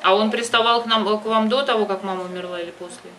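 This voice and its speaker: A woman's voice: mid-range voice, steady tone, fast talker. A woman giving advice in a phone conversation, insistent and emphatic, often repeating herself for stress. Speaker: advisor and host of the phone conversation. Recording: distant microphone with loud background noise.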